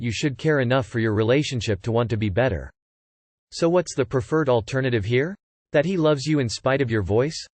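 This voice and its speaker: Male narrator, even and plain, dry booth sound, middle pitch. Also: dry recording. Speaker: reader of online comments. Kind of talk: scripted narration.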